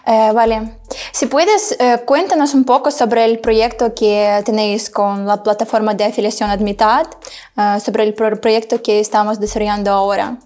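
A woman's voice: fairly high pitch, steady tone, normal speed, darkish tone. A young adult woman interviewing a guest from a studio, with brief affirmations and polite prompts. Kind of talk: business interview questions.

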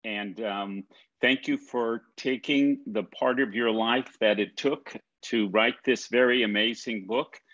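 An older man interviewing an author on a video call from an office, asking long, halting questions. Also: mid-range voice, fairly even intonation, fairly bright tone, muffled recording. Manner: slow, halting, rambling questions. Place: office with ceiling lights.

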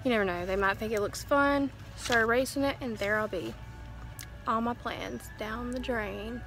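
A woman's voice: fairly high pitch, normal speed, fairly bright tone, soft background music. A young woman chatting to her phone camera while browsing a large discount store. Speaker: vlogger. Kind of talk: casual shop-with-me narration. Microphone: phone camera held at arm's length.

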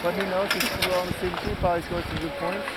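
Young male amateur commentator, eager and informative, loud outdoor noise on camcorder audio. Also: high voice, fairly even intonation, fast. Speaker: forerunner doing impromptu commentary. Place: outdoors at a ski race. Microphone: camcorder mic nearby.

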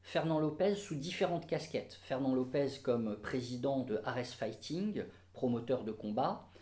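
A middle-aged lawyer speaks from his office. His voice sits at a steady middle pitch. He lays out a legal case in a formal, measured way. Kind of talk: explanatory interview. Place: office indoors.

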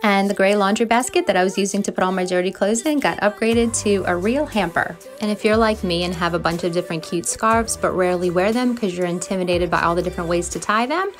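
A woman's voice: mid-range voice, fast talker, hardly any pauses. A middle-aged American woman giving friendly, encouraging voiceover narration as a home and cleaning vlogger, in a confiding way. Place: voice-over recorded in a closet at home. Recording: music playing under the voice. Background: background music.

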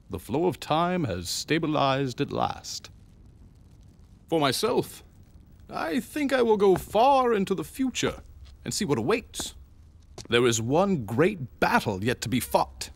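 An enigmatic gentleman time traveler in a fantasy video game. He muses theatrically and very slowly, his gravelly voice swooping up and down, with faint ambient noise behind. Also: mid-range voice, heavily acted. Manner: measured, formal, musing. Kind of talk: dramatic video game voice acting.

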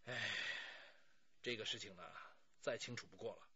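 A man's voice: on the low side, moderate pace, sharp tone, slightly rough, dry recording, lo-fi sound, exaggerated voice. An actor playing a talkative, self-justifying middle-aged man under police questioning in a radio drama, pleading and sighing as he explains. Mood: frank, defensive.

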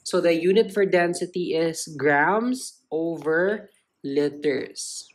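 A woman's voice: deep voice, slow. A woman tutor narrating a step-by-step lesson in simple, explanatory phrasing. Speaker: chemistry tutor.

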